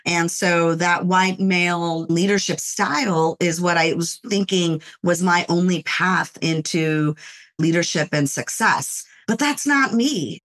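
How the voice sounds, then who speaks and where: A middle-aged woman from Los Angeles, a corporate lawyer and author, speaking as a podcast guest in a measured, reflective way with frequent "you know"s. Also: on the low side, a bit bright. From Los Angeles, United States. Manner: measured, thoughtful, often says "you know". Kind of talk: reflective interview talk.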